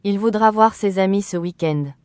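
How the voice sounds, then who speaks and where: A voice reading short phrases slowly and evenly for learners, carefully enunciated and repeated. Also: medium pitch, a little mellow, smooth, no room sound. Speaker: French phrase reader.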